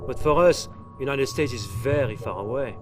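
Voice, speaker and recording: Actor as a haughty European leader, off-mic. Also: male voice, mid-range voice, moderate pace, natural voice. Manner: formal, condescending. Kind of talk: video game cutscene acting. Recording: distant from the mic.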